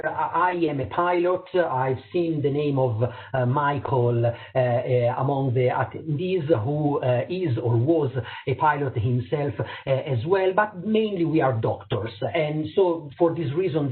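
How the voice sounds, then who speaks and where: A middle-aged Italian man, a surgeon and pilot, giving an online presentation to doctors in accented English, hesitant, with many fillers and restarts. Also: a little high. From Italy. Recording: tinny phone-like audio.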